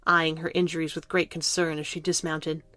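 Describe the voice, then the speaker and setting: A woman's voice: sits low, flat delivery, fairly quick, pushed and bright, no room sound. A woman narrator reading scripted narration for a fantasy audio drama.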